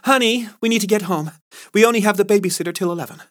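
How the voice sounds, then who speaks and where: A man's voice: high voice, quick pace, a bit bright, pitched above the performer's own voice. A man doing a witty, prim saleswoman. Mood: warm. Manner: teasing, prim. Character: poised witty married woman sales rep.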